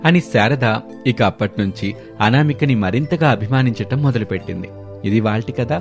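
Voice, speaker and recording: A man's voice: medium pitch, moderate pace, music in the background. A man narrating a scripted moral family story as a storyteller.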